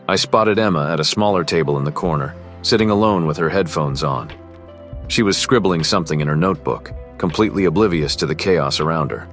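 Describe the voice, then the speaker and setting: Guy voicing cocky rich teen boy in a romance story, really deep voice, guarded and cool, bit of music behind. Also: a little fast. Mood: brooding, reflective. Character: cocky rich high school heartthrob, quietly smitten. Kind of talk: first-person narration and dialogue in a teen romance.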